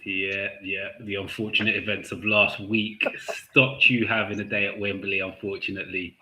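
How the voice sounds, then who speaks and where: A man's voice: fairly low pitch, little pitch movement, moderate pace, pushed and bright, reverberant, slight background noise, poor audio. A man hosting a casual football podcast, upbeat and steering the talk around the group. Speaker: podcast host.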